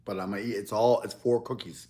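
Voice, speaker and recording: A man's voice: lowish voice, steady tone, fairly quick, warm tone. A young man in a casual, rambling chat as he eats on camera, often restarting his sentences. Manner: rambling, loose, with many restarts. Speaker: mukbang YouTuber.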